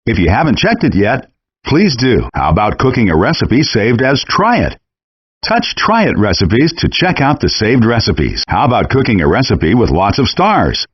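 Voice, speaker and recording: Friendly game chef guide, super lively up and down delivery, encouraging, scripted cooking instructions, dull lo-fi game audio. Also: male voice, mid-range voice, normal speed, husky, no room sound. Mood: patient, instructive.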